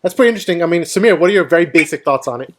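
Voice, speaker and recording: A man's voice: fairly high pitch, expressive, racing, fairly bright tone. A man hosting a casual film discussion, explaining his view and putting questions to the panel. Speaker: host of a film discussion show.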